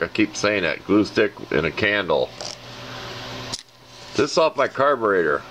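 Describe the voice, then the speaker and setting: Deep-voiced rambling man. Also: fairly bright tone, a little hiss or hum. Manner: rambling, plain-spoken, mechanic's know-how. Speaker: channel host showing ashtray contents. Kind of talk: casual show-and-tell vlog. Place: indoors at a table.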